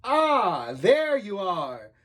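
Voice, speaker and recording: A woman's voice: fairly low pitch, sing-song, moderate pace. A woman voicing a gallant male knight in a children's storybook, with an emphatic, gracious manner. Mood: breathless. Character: adult male knight in heavy armor, gallant, admiring.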